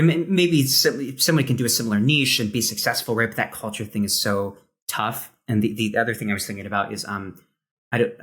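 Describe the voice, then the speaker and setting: A man hosting a casual podcast, speaking reflectively and haltingly as he searches for words with many false starts. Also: fairly low pitch, fairly bright tone. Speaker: podcast host.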